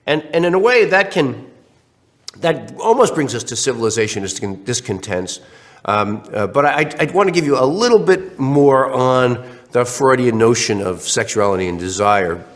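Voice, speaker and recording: A man lecturing online, slightly congested from a cold, with many restarts and fillers. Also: mid-range voice. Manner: animated, acts out questions in other voices.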